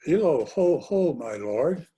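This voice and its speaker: A man's voice: a little high, sing-song, slow, mellow, poor audio. A man reading the part of a prince's loyal soldier friend in a Shakespearean drama, formal and deferential. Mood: calling out. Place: indoors on a video call.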